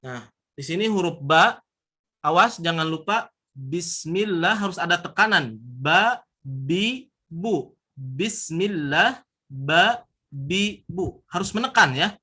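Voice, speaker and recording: A man with a Sundanese accent teaching Quran recitation, sounding out syllables slowly and firmly and repeating them. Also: fairly high pitch, expressive, pushed and bright. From West Java. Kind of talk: recitation lesson.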